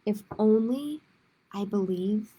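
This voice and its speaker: A woman's voice: mid-range voice, takes it slowly, dark tone, slight background noise, low-quality recording. A woman hosting a children's storytime, reading picture books aloud in simple phrases with casual asides to her listeners. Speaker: children's librarian, storytime host.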